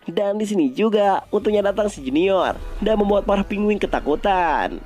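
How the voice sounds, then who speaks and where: A male narrator with an Indonesian accent retells a film in a casual, conversational style. His voice sits high for a man. Soft music plays faintly behind him. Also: expressive, normal speed.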